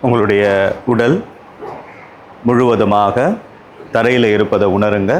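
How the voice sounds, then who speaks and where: A man with a Tamil Indian accent guiding a yoga relaxation class, calm and unhurried, giving instructions in short spaced phrases. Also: lowish voice, a little hiss or hum, low-quality recording.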